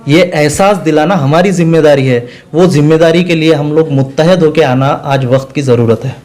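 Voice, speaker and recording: Higher-pitched man, political spokesman. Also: darkish tone. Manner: deliberate, emphatic, repeats phrases for stress. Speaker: political party spokesman, interview guest. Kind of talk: earnest political interview answers.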